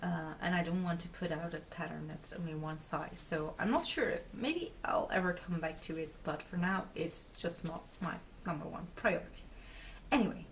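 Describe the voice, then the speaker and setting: A woman's voice: on the low side, normal speed, distant mic, a little hiss or hum, poor audio. A Dutch woman in her twenties, English not her first language, chatting casually to camera as host of her knitting podcast, with lots of fillers and little laughs. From Netherlands. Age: 20s. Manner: chatty, many filler words and giggles. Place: small room at home, indoors.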